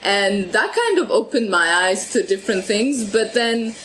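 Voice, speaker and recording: A woman's voice: medium pitch, animated, normal speed, fairly bright tone, slight background noise, rough recording. A woman from Ethiopia, a podcast guest talking about her photography, often laughing and restarting words. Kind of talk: conversational interview.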